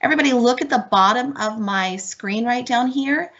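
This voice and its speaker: A woman's voice: a little high, moderate pace, a bit bright. Upbeat woman presenting over dull webinar audio. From Miami, United States. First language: Spanish. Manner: upbeat, encouraging, addresses teachers directly. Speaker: guest presenter for teachers. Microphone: video call.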